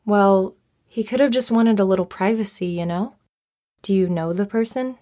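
A woman acting a calm, reasonable young friend in an audio drama, speaking in a measured, placating, conversational way. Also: medium pitch, lightly acted. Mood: gently probing. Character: calm, reasonable young female friend giving gentle counsel.

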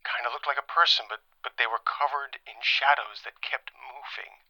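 An actor playing a rattled, defensive late-night radio caller in an audio drama, speaking haltingly and colloquially through a telephone filter. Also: male voice, medium pitch, steady tone, bright, forceful tone, no echo. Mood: uneasy, hushed. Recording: muffled, narrow phone-line sound.

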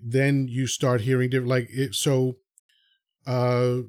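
Podcast host, man, mild New York accent, conversational, explains things in a roundabout, filler-heavy way, checks in with a quick "right?". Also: medium pitch, flat delivery, darkish tone. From New York City. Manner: explains at length with frequent fillers and rhetorical "right?". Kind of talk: casual conversation.

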